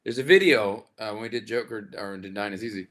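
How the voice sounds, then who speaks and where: A man with a slightly raspy voice hosting a casual livestream chat, rambling warmly with lots of fillers and restarts. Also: fairly low pitch, fast talker. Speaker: livestream host. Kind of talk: casual live chat with viewers.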